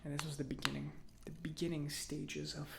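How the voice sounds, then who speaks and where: A man playing a chatty, opinionated robot mechanic in an ASMR roleplay, speaking softly and often near a whisper in a halting, musing, conversational way. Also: fairly high pitch, level tone, creaky, very noisy. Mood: confiding, explanatory. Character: chatty, opinionated male government robot mechanic, gently confiding. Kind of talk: soft-spoken ASMR roleplay.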